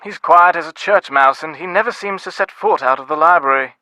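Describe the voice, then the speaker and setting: Game role: snobbish British upper-class dad, middle-aged, sardonic, highish sharp voice, very close dry sound. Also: male voice, a little fast, no echo. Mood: haughty, puzzled. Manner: pompous, wordy, dryly sardonic. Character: snobbish, dismissive middle-aged English father of aristocratic family. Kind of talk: scripted video game dialogue.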